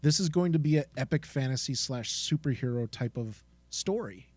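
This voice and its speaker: A man's voice: mid-range voice, lively intonation, normal speed, a little mellow, no room sound. A man co-hosting a podcast, chatting casually in an enthusiastic, informal way. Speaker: podcast co-host. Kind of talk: casual chat.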